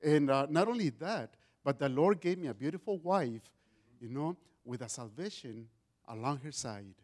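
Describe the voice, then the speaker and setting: Loud, high-voiced Mexican man testifying. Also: lively intonation, normal speed. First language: Spanish. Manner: loud voice, earnest with many restarts. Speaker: guest speaker giving testimony. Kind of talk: church testimony.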